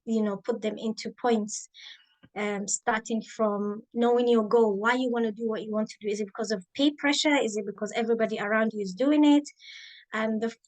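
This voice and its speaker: Thoughtful woman with a slightly high voice. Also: fairly even intonation, brisk, a little mellow, poor audio. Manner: thoughtful, many ums and restarts. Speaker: guest, biomedical scientist and author. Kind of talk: interview, reflective personal story.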